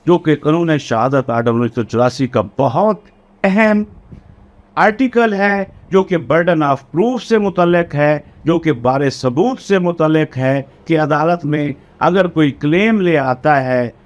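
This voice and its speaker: Slow-paced Pakistani male law lecturer. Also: on the high side. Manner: didactic, repeats and rephrases key points. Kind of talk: explanatory law lecture mixing Urdu and English.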